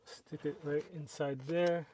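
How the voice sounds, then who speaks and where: A man's voice: fairly high pitch, a little slow, slight background noise. A man casually narrating a product review, explaining each step as he works with his hands. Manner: relaxed, step-by-step explaining while working with hands.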